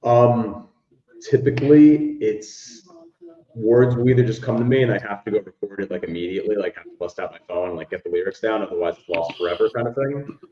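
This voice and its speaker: Low-voiced young man, echoey lo-fi. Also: fairly quick, dark tone. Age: young adult. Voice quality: baritone speaking voice. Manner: rambling, many "like" fillers. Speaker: musician guest. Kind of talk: casual interview chat. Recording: echoey, dull lo-fi room sound.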